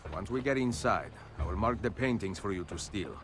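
An actor playing a confident, roguish Italian adventurer in a video game, with an Italian accent. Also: male voice, lowish voice, animated, a little fast, a bit bright. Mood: smooth, confident. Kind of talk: video game cutscene acting. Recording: music behind the dialogue.

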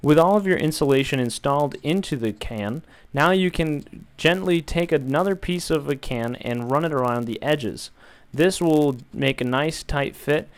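Smooth-voiced man giving calm instructions. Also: on the high side, moderate pace, few pauses. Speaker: DIY tutorial maker. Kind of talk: instructional voice-over.

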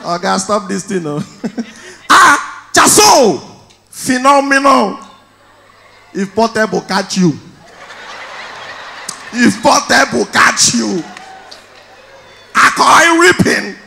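Loud high-pitched Nigerian stand-up comic. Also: male voice, sing-song, takes it slowly, creaky, long pauses. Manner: loud, punchy storytelling with shouted punchlines. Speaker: stand-up comedian. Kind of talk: stand-up comedy in Pidgin. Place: large indoor event hall on stage. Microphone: handheld mic.

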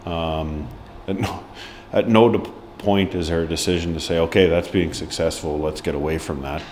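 A man in his forties speaks as a hockey head coach after a match. His voice sits very low, and he talks in halting bursts, with many ums, restarts and frank remarks. A steady hum of noise runs under the recording in a press room. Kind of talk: post-match press interview. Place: indoor press room before a sponsor board.

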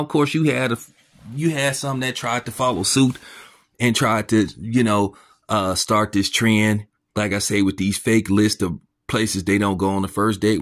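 A man hosting a commentary channel, ranting in a blunt, mocking and crude way, his voice jumping up when he is incredulous. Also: a bit bright. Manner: pitch jumps up when incredulous.

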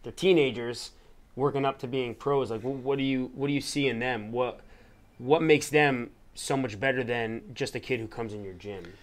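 A man in his thirties hosts a podcast interview at a middle pitch. His questions wander, padded with "like" and "I mean" as he rephrases them. His voice is picked up close on a studio microphone. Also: steady tone, normal speed. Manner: rambling questions full of "like" and "I mean". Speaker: podcast host. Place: studio room with bookshelves. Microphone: studio mic on a boom arm.

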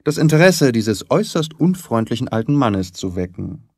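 A man voicing a brisk, analytical detective in an audiobook, articulate and emphatic, with deliberate phrasing. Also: mid-range voice, big pitch swings, no room sound, studio quality. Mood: pondering. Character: brisk, confident, analytical male detective, theatrical and keen. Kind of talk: audiobook character dialogue.

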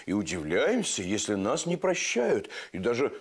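A man in his sixties, seated in a studio, calmly giving a gentle moral lesson to children. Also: mid-range voice, moderate pace, breathy edge. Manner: gentle, instructive, calm. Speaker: show host. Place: studio set with bookshelves, seated. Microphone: lapel.

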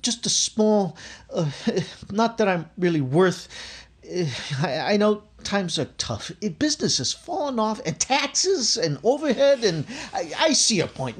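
A man acts out a bumbling young working-class store clerk in an old radio comedy. He is nervous and stammering, with a husky voice that swings up and down a lot and often trails off. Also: high voice, exaggerated voice. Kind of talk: recreated 1950s radio sitcom acting. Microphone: video call microphone.

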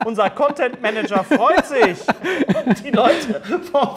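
A man's voice: very high voice, measured pace, background noise. A middle-aged man chatting casually as a talk show host, rambling along with joking asides. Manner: jokey, rambling, animated. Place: indoor studio set at a table.

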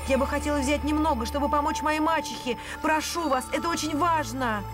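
A woman plays a gentle young cartoon heroine with a high voice. She speaks politely and earnestly, with determination, over prominent music. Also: brisk, lightly acted. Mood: earnest pleading. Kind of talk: cartoon dubbing.

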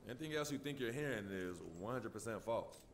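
An actor playing a cocky young basketball star in a video game, answering press questions in brash, dismissive slang. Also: male voice, medium pitch, lively intonation, fairly quick, a little hiss or hum, natural voice. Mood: curt, dismissive. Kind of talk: acted press conference answers for sports game.

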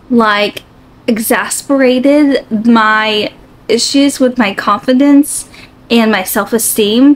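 A woman in her forties, candidly sharing her own story in a reflective, open way, with many fillers, filmed in her bedroom by a camera a few feet away. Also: on the high side. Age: 40s. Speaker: vlogger. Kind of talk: candid personal vlog. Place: bedroom, seated on a bed.

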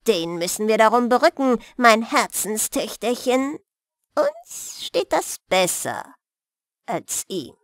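A woman voicing a scheming, slow-talking old witch. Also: a little high, sing-song, no echo, studio quality. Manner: slow, drawn-out, threatening delivery. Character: scheming, menacing old witch, greedy and commanding.

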